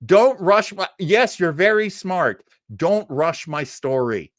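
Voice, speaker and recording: Animated, chatty man hosting a live stream. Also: high-pitched, no room sound, studio quality. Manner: chatty, halting, self-deprecating, laughs easily. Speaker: host of a live old-time radio show stream. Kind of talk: casual live chat with viewers, rambling sign-off.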